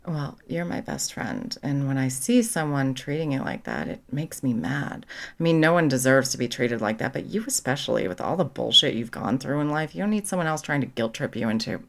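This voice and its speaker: A caring young female best friend, acted by a woman in an audio roleplay, with a low-pitched voice and plenty of vocal fry. She talks casually and frankly, protective and supportive of the person she is comforting. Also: big pitch swings, brisk, exaggerated voice. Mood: protective, indignant. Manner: casual, supportive, blunt, sweary. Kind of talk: ASMR comfort roleplay, conversational.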